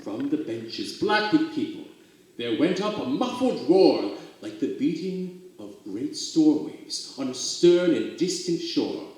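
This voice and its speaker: A man giving a dramatic poetry recital, with expressive, theatrical storytelling and drawn-out emphasis. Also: fairly high pitch. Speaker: reader of poetry for a theater company. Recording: very echoey, roomy sound.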